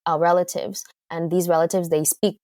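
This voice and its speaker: Lowish-voiced woman with a Canadian accent. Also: steady tone, normal speed, warm tone, dry recording, clean studio sound. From United Kingdom. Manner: thoughtful, hesitant, frequent fillers. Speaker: guest. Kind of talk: casual interview conversation.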